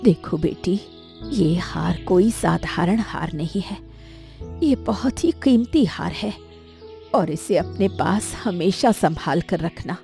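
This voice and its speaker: A woman voicing a frail, ailing elderly mother in a dramatic story, weary, gentle and solemnly firm. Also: lowish voice, lively intonation, slow pace, vocal fry, exaggerated voice. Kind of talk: dramatic animated story acting. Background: music.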